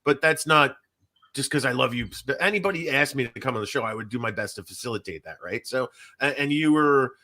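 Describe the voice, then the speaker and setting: Bright-voiced man hosting a podcast. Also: mid-range voice, animated, fairly quick. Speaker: podcast host. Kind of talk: casual podcast chat.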